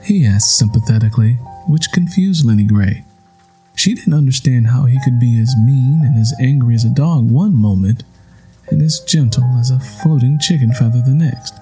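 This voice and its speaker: A man reading scripted literary fiction as an audiobook narrator, in a measured, solemn storytelling manner. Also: mid-range voice, mellow, soft background music, poor audio.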